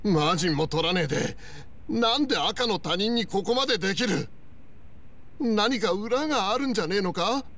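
An actor playing an earnest former yakuza underling in a video game, polite to seniors with a rough, wary streak. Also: male voice, high-pitched, animated, normal speed, a bit bright. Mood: suspicious, confrontational. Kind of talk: Japanese video game voice acting. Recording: music playing behind the voice. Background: background music.

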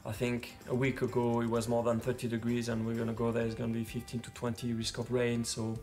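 A man answering interview questions in a measured way, with some restarts. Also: mid-range voice, completely flat delivery, a little mellow, soft background music.

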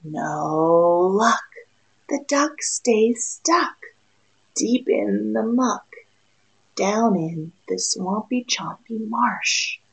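A woman's voice: medium pitch, animated, extremely slow, vocal fry, poor audio. A woman in her thirties, a preschool teacher, reading a picture book aloud expressively and in a sing-song way to young children. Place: small room indoors.

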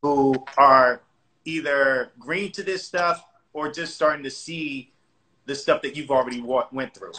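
A man hosting a casual live interview, speaking in a measured, supportive way over a phone or webcam video call. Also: medium pitch, sharp tone, rough recording. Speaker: host.

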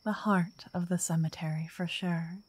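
A woman playing a wealthy, romantic vampire writer in an intimate ASMR roleplay, speaking softly and breathily, often whispering, with an elegant, flirtatious manner. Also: fairly low pitch, fairly even intonation, normal speed, slightly rough. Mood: intrigued, intimate. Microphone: close microphone in a dry, quiet space.

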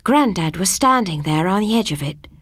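A woman narrating a scripted first-person ghost story as an audiobook reader, with a husky voice. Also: lowish voice, animated, moderate pace, fairly bright tone, dry recording.

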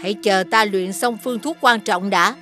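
A woman's voice: mid-range voice, normal speed, music in the background, natural voice. A woman voicing a vain, spiteful old witch in a children's cartoon, speaking in a gloating, imperious manner. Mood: bossy, scornful. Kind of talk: children's cartoon villain acting.

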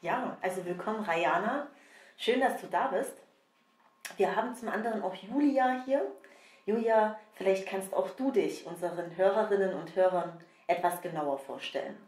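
A woman hosting a podcast, giving an earnest, measured scripted introduction. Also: mid-range voice, darkish tone, roomy sound. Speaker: podcast host.

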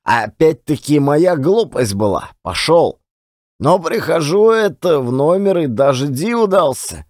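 A man's voice: a little high, big pitch swings, a little slow, darkish tone, dry recording, clean studio sound. An actor playing a garrulous, self-deprecating, folksy old-time Russian storyteller in a one-man audio play. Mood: rueful, chatty. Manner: colloquial, rambling, folksy.

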